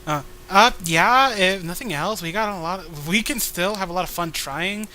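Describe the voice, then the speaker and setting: A man's voice: sits high, moderate pace, bright, forceful tone, a little hiss or hum, low-quality recording. A man giving casual, joking commentary as co-host of a comic reading.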